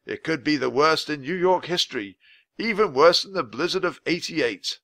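A man playing a polite old-fashioned gentleman. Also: fairly high pitch, expressive, normal speed, creaky, dry recording, pitched above the performer's own voice, full character voice. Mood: formal. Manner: proper, old-fashioned, slightly lilting. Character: tall thin older man, formal and polite.

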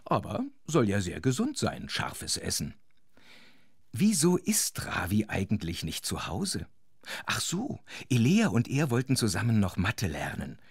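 A man's voice: lowish voice, wildly swooping pitch, measured pace, creaky, breathy edge, no echo, clean studio sound, full character voice. A man playing a chatty, sympathetic storyteller, narrating a children's audio drama with wry, conversational asides. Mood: wry curiosity.

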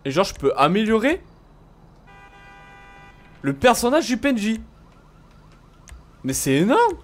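A man's voice: high voice, huge pitch swings, unhurried. A man playing a video game live, chatting casually in a playful, teasing, slangy way. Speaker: gamer, video creator. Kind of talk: casual live gameplay trolling chat.

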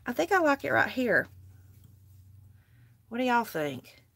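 A woman's voice: fairly high pitch, big pitch swings, unhurried, fairly bright tone. A woman with a Southern US accent, chatting casually with viewers during a live crafting session at her table. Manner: chatty, talks to viewers while working. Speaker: craft livestream host. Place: indoor craft table, overhead camera.

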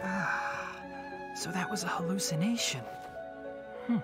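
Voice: mid-range voice, unhurried, natural voice. An actor voicing a studious, composed young male forest ranger who talks precisely and thoughtfully in a game trailer. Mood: musing. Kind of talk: game trailer character monologue. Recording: loud music over the voice.

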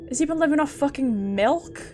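A woman with a high voice and a British accent commentates casually on a horror game as she streams it. She thinks out loud, swears freely and reacts to what she finds. Faint game music plays behind her. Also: animated, brisk. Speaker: livestreamer playing a game.

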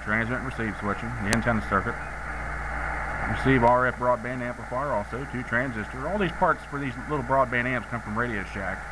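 Loud noise runs all through this amateur camera recording of a man at a radio bench. He has a medium-pitched voice and talks in a folksy, matter-of-fact way, like a hobbyist explaining his technical work informally. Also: fairly quick. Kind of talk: informal hands-on technical walkthrough. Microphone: handheld camera's built-in microphone.

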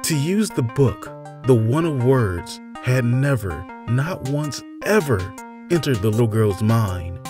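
Man, storybook narration, measured theatrical delivery, mid-range pitch, music playing behind. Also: very animated. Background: background music.

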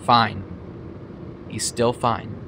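A man's voice: mid-range voice, fairly even intonation, drawn-out words, creaky, noisy background. A man playing a sullen, sarcastic, foul-mouthed teenage boy in an audio drama.

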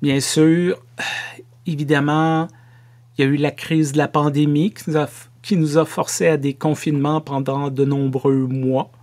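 A middle-aged man with a Québécois French accent giving a sermon to camera from a home office, slow and measured with playful asides. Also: a little high, level tone, darkish tone. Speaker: pastor.

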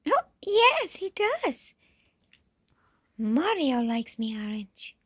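A woman voicing a cheerful, childlike green dinosaur in pretend play, with a high, squeaky, sing-song voice, gasping, exclaiming and now and then dropping to a whisper. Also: huge pitch swings, very slow, a bit bright, no echo, studio quality, exaggerated voice. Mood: excited. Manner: gasping and exclaiming, asks questions, drops to whispers.

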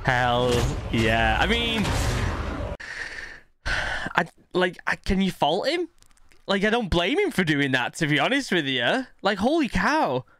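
A man's voice: high voice, sing-song, slow. A man in his thirties from the United Kingdom giving casual, awed reaction commentary close to a desk microphone. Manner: awed, informal. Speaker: reaction video host. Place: small indoor streaming setup. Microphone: close desk microphone, headphones on. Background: loud game cutscene music.

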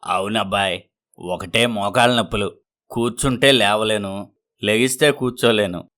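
A low-voiced village elder of seventy , acted by a man for a moral story. He is ailing and weary. He complains of his lot with resignation. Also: moderate pace, fairly bright tone, natural voice. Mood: weary, resigned.

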